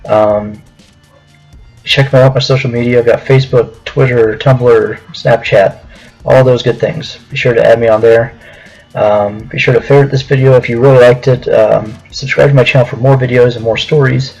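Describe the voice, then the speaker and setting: A man's voice: mid-range voice, steady tone, normal speed, creaky, a little mellow, dull audio, soft background music. A man telling a personal story to viewers in a casual, hesitant, conversational way, as a video storyteller.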